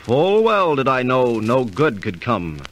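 Radio play role: big, grave, god-fearing adviser, reproving and melodic, faintly noisy vintage recording. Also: male voice, medium pitch, sing-song, unhurried. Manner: solemn, admonishing, archaic formal speech. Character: pious, reproving companion of a merchant, big man. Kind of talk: golden-age radio drama acting.

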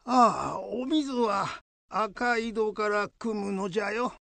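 A man's voice: high voice, slow, gravelly, sharp tone, dry recording, heavily acted. A man playing an old Buddhist head priest in a radio drama, fussy but fond, with grumbling, old-fashioned elderly speech. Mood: weary.

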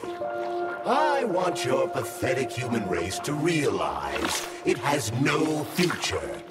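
An actor playing an arrogant villain scientist who scorns humanity, lecturing with contempt in a game cutscene. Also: male voice, mid-range voice, huge pitch swings, loud music behind the voice, full character voice. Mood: disdainful. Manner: contemptuous, lecturing, swings from slow menace to shouting. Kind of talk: video game cutscene acting. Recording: loud orchestral score under voice.

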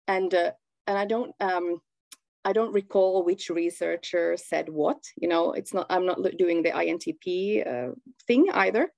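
A woman from Finland with Swedish as her first language and a Finland-Swedish accent, chatting haltingly as an interview guest on a video call. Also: fairly low pitch, creaky, a little mellow, no echo. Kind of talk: casual conversational interview. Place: small room at home, indoors.

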